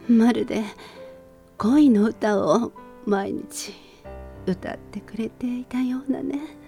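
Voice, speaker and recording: A woman playing a gentle dying mother in a sentimental radio drama, speaking tenderly and breathily to her son, at times near a whisper. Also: a little high, slow pace, vocal fry, soft background music. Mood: weak, tender.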